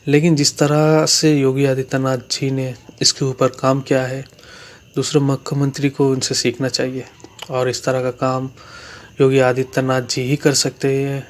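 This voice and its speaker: A man's voice: medium pitch, completely flat delivery, normal speed. A man hosting a channel, delivering scripted news commentary with somewhat repetitive phrasing. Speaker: YouTube channel host.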